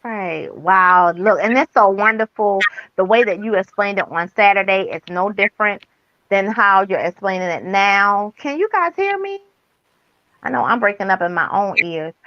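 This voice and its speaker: A woman's voice: medium pitch, animated, normal speed, no echo. A woman hosting a casual online interview show, upbeat, with fillers and restarts, on a video call. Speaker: host. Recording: dull lo-fi online call audio, dry.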